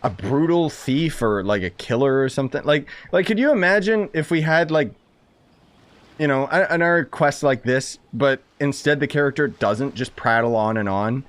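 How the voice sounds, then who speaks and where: A man's voice: mid-range voice, lively intonation, moderate pace, no room sound. A man chatting informally to viewers as a game streamer, rambling and thinking aloud. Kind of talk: casual live-stream commentary, chatting with viewers.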